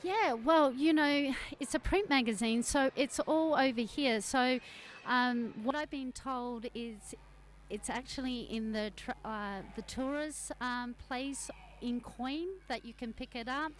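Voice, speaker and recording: A woman's voice: high-pitched, measured pace. An Australian woman, a travel journalist, chats as a guest in a radio interview. She rambles with plenty of fillers and restarts. There's a faint hiss of background noise on the recording.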